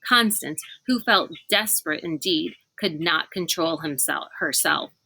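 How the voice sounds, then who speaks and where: A woman reading a children's book aloud as an expressive narrator. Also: low voice, unhurried, gravelly, slightly rough, low-quality recording. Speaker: read-aloud reader.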